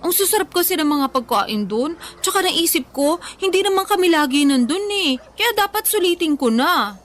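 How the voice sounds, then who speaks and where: A young woman with a high voice banters casually in a radio drama. She is playfully defensive and emphatic, a food lover through and through. Faint music plays underneath. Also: lively intonation, moderate pace, fairly bright tone. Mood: cheerful. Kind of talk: Tagalog radio drama, natural conversational acting.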